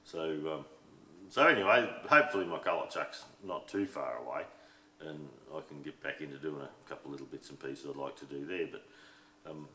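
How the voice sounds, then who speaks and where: A middle-aged Australian man with a slightly hoarse voice, rambling in casual chat about his workshop as the host of his channel, with plenty of ums and restarts. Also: extremely low pitch, fairly quick, sharp tone, a little hiss or hum. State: a bit hoarse. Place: home workshop shed. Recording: echoey shed room.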